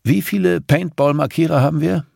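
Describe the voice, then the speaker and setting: A man acts out a rich, muscular patriarch for an audiobook. He is jovial and patronizing, speaking in a gravelly, commanding voice. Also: fairly high pitch, fairly even intonation, a little fast, a little mellow, dry recording, studio quality, voice pushed up. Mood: brisk, businesslike. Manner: commanding, expansive.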